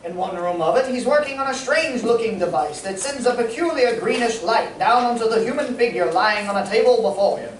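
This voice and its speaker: A performer playing an exasperated straight man and announcer in old-time radio style, with deliberate theatrical delivery. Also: medium pitch, lively intonation, lightly acted. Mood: grand announcing. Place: community theatre stage. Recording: echoey stage from far off, faint noise.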